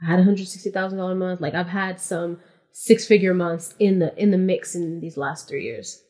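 A woman's voice: lowish voice, fairly even intonation, normal speed, warm tone. A woman from California, a podcast guest in casual interview chat, explaining things matter-of-factly and step by step with frequent "like".

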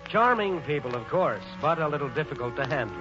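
A droll, polished narrator from 1940s radio, speaking in a fairly high male voice. The muffled vintage recording has clear music behind him. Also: very animated, fairly quick. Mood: wry, urbane. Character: wry, urbane male narrator introducing a theatrical family.

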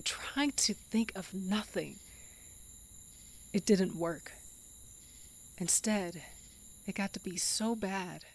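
Actress as low-voiced broken widow. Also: female voice, breathy edge, exaggerated voice. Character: grieving, bitter, emotionally broken widow and monster hunter. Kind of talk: first-person audio drama narration with acted scenes.

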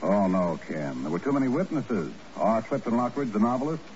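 An actor playing a skeptical, plain-spoken intelligence chief with a brisk manner, in 1950s radio drama style. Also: male voice, lowish voice, big pitch swings, darkish tone. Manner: brisk, doubtful. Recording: muffled, noisy old radio recording.

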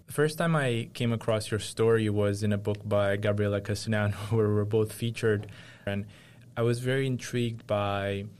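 Low-pitched male interviewer. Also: darkish tone. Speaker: interviewer, host. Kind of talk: conversational interview questioning.

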